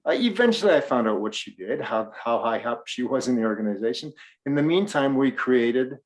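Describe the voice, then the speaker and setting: A man's voice: medium pitch, brisk, poor audio. Middle-aged guy, faint western canadian accent, chatty podcast co-host acting out little dialogues. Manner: animated storyteller, acts out dialogue. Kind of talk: casual podcast chat.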